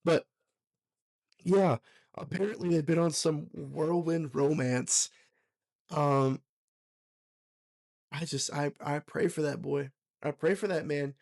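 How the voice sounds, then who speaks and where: A hoarse young man recovering from a sickness, co-hosting a casual motorsport podcast in a rambling, filler-heavy way with frequent laughs. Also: on the high side, fairly even intonation, slow pace, long pauses, a little mellow. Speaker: podcast co-host.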